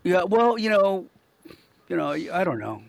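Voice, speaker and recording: Older man, high creaky voice. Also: big pitch swings, unhurried, dry recording. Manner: rambling, earnest, repeats words and phrases. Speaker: professional Santa Claus performer, interview guest. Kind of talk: casual anecdotal chat.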